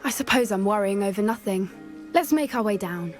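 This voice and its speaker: An actress playing an earnest young female student who loves magical creatures, speaking in a soft, thoughtful, sometimes hesitant way in video game dialogue. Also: medium pitch, expressive, brisk, music in the background, close to an everyday voice. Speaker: game character.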